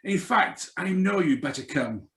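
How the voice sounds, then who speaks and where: An actor plays a sardonic ex-husband turned blackmailer, polite but menacing. His delivery is lively and varied in pitch. The room echoes around him as he performs in old radio suspense style. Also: a little slow. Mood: smooth menace. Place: remote live reading over video call. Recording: roomy echo over video call.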